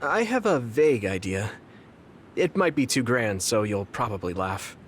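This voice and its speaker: An actor playing an earnest, gentle, idealistic young fighter in a video game, with a slightly hesitant manner. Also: male voice, mid-range voice, very animated, normal speed, slight background noise, natural voice. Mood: earnest, hopeful. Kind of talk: English video game voice acting.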